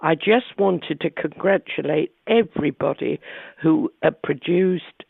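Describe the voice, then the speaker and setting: A woman's voice: deep voice, measured pace, vocal fry, breathy edge, no room sound. A woman calling in as a listener to offer congratulations, speaking appreciatively. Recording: narrow phone line.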